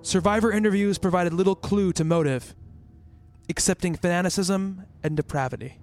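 A man's voice: sits high, normal speed, darkish tone. A man playing a worried, rational researcher in an eerie radio play, speaking as a thoughtful narrator and character over music. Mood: pensive, measured. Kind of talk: radio play dialogue and first-person narration.

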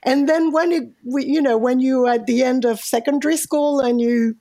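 High-pitched woman with gravelly fry. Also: moderate pace. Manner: animated storyteller, laughs often. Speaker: guest translator. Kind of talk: casual conversation.

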